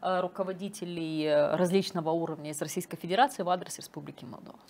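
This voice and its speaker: A woman's voice: fairly low pitch, fast talker, darkish tone. Middle-aged female TV host, pointed questioning, lapel mic in studio. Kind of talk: studio interview.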